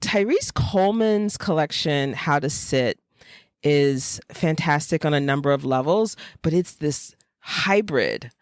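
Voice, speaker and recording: A woman's voice: sits low, expressive, a little slow, no room sound, clean studio sound. A woman from Florida chatting casually and enthusiastically about books as a podcast co-host, with emphatic praise. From Jacksonville, Florida, United States. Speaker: podcast co-host, fiction writer. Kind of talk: casual book chat.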